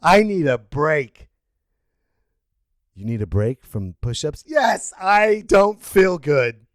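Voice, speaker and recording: Podcast host, male, animated rant voice, swings wide in pitch, close dry sound. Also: slow pace, no room sound. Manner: loud, animated ranting with sudden yells. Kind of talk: opinion rant.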